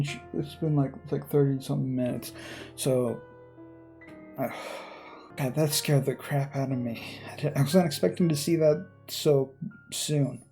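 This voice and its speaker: Gravelly man hosting a game playthrough. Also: a little high, dark tone. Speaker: gaming video host. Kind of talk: reading visual novel narration aloud with casual commentary. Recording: game music behind the voice.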